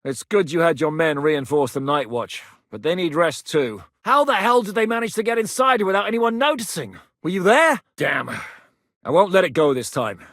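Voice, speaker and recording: A blunt, grizzled war captain. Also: male voice, fairly high pitch, very animated, moderate pace, a bit bright, no echo. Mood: wary. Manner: blunt, commanding, dry and sardonic. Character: grizzled one-eyed male war captain, blunt and commanding. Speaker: mercenary leader in a medieval game. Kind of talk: video game voice lines.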